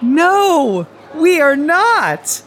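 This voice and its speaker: A man's voice: very high voice, sing-song, extremely slow, a bit bright, soft background music, full character voice. A man playing a childlike alien posing as a human, cheerfully literal and stiffly eager, in a dramatised fan fiction reading.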